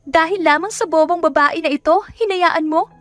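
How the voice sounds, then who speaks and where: A woman acts out a spiteful young woman with a very high, shrill voice. She is haughty and accusing, scolding in the heated manner of an audio melodrama. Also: normal speed, fairly bright tone, no echo, faint music behind. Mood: furious, accusing. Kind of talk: dramatic audio story acting.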